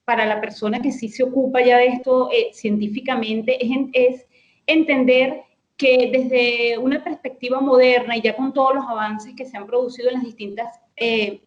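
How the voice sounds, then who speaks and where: A woman's voice: fairly high pitch, fairly even intonation, normal speed, roomy sound, muffled recording. A woman speaking as a guest lexicographer, explaining her ideas thoughtfully, with frequent hesitations and restarts. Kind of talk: explanatory conversation.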